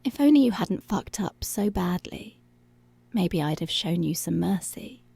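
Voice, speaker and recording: Woman acting a slow, breathy, dominant policewoman. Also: fairly low pitch, animated, dark tone, no echo, heavily acted. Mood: taunting, seductive. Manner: commanding, seductive, drawn-out taunting, slipping into whispers. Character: dominant, teasing, menacing policewoman toying with her captive. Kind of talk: intimate ASMR roleplay, slow and breathy.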